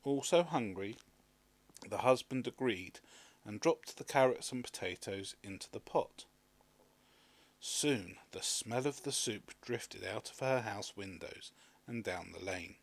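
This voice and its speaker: A man reading a children's story aloud as an expressive scripted narration. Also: lowish voice, measured pace, vocal fry, no echo. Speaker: storybook reader.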